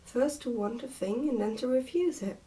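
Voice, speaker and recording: A woman voicing a patient, kindly nursemaid in a storybook reading, gently and mildly chiding. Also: fairly high pitch, normal speed, far from the mic, slight background noise, natural voice.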